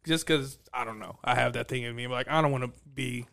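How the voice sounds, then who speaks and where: A man in his late twenties, a stand-up comic, chatting casually and profanely, with loose, animated riffing. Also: medium pitch, brisk, gravelly. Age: 20s. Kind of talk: casual podcast chat.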